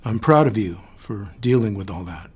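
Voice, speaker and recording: An actor playing a firm but supportive agency director in an audio drama, measured and authoritative. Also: male voice, on the low side, animated, a little mellow, breathy edge. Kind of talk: audio fiction drama acting.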